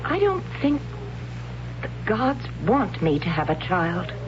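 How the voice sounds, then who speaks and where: An actress playing a proud, blunt young huntress in a radio drama, matter-of-fact and puzzled by feeling. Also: female voice, medium pitch, sing-song, takes it slowly. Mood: troubled. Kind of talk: 1970s radio drama acting. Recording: noisy, dull 1970s radio broadcast with hum.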